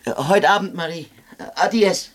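An actor playing a poor, harried soldier in a German radio drama, humble and brooding, halting as he gropes for words. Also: sits high, close to an everyday voice. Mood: weary, tender.

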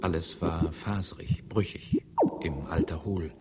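An actor playing a detached naturalist who recites fragmented catalogues of decay and insects in an experimental radio play. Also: male voice, low-pitched, steady tone, unhurried, background noise. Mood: detached, reciting. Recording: old radio collage with loud music.

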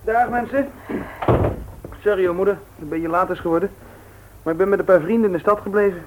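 An actor playing a cheeky, easygoing seventeen-year-old son in a Dutch radio play, with a breezy, evasive manner. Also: male voice, high voice, quick pace, phone-quality sound, natural voice. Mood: apologetic, breezy. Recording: very noisy, clicking old radio recording.

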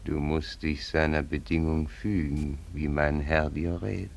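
An actor in a German radio play voicing a sly, fawning old servant of a raja, obsequious and dangerous. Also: male voice, very deep voice, little pitch movement, a little slow, vocal fry, heavily acted. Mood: sly, deferential. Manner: obsequious, echoes his master. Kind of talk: German radio drama acting.

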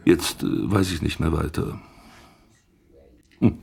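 An actor playing a brooding, puzzled police commissioner in a radio play, husky and thinking aloud. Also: male voice, very deep voice, takes it slowly, a little mellow, full character voice. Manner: muttering, thinking aloud with exclamations.

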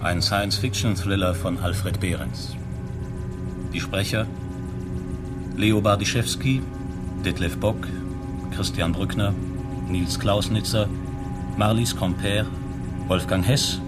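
A man's voice: deep voice, slow, long pauses. A man announcing a radio play's title and cast in a scripted reading. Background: loud music.

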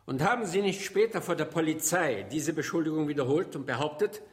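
An actor playing a sharp, insistent prosecutor in a radio drama, questioning formally with pointed irony. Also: male voice, fairly high pitch, a little fast, close to an everyday voice. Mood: formal, probing. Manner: formal, pointed, ironic cross-examining. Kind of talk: German radio drama acting.

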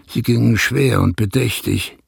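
An actor playing a sober, burdened shepherd narrating in a creaky, husky voice in a radio play. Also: male voice, mid-range voice, a little slow, dry recording, clean studio sound, heavily acted. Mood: sober, reflective. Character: sober, burdened male shepherd recalling hard years.

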